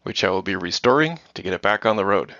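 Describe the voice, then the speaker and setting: A middle-aged man from the Netherlands, a guest giving measured, prepared answers in a corporate interview. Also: sits low, lively intonation, pushed and bright, no echo. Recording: dull lo-fi dry sound.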